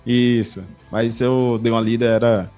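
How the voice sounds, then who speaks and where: A man's voice: medium pitch, little pitch movement, normal speed, a little mellow, clear, resonant tone, soft background music. A man from Bahia, Brazil, hosting a channel and asking long, rambling questions in casual talk. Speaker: channel host. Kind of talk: casual discussion.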